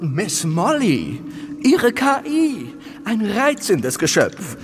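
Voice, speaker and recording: An actor playing an excitable, devoted manservant with an eager, deferential and cheeky manner in a musical radio drama. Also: male voice, high-pitched, very slow, big-room sound, background noise, exaggerated voice. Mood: charmed.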